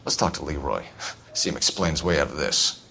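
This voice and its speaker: A man's voice: very deep voice, expressive, a little fast, gravelly, sharp tone, a little hiss or hum, full character voice. A man playing an earnest, determined young American police detective in a video game drama. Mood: grim.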